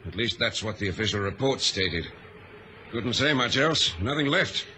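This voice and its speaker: An actor playing a stern, resolute ship's captain in a radio drama, clipped and commanding, on a noisy vintage recording. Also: male voice, on the low side, lively intonation, normal speed, pushed and bright. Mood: grim resolve.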